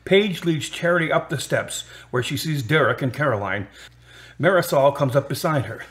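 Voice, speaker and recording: A man's voice: on the high side, expressive, normal speed, fairly bright tone. A man reading scripted narration for a radio drama as its narrator. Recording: music under the narration. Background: music.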